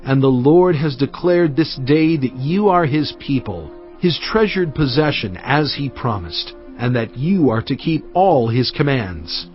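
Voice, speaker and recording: Commanding old-world prophet for audio Bible: deliberate, declamatory, very animated melody, music underneath. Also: male voice, medium pitch. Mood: solemn, earnest. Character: solemn, commanding male Hebrew prophet and lawgiver addressing his people. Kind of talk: dramatized audio Bible acting. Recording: dull band-limited audio over music. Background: background music.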